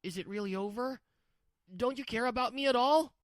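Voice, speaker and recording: A woman's voice: fairly high pitch, fairly quick, no echo, clean studio sound, voice pushed up, lightly acted. A woman voicing a selfish, panicky husband who whines and demands in a manga story dub. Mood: pleading. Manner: demanding, pleading. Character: selfish, whining adult husband, entitled and panicky.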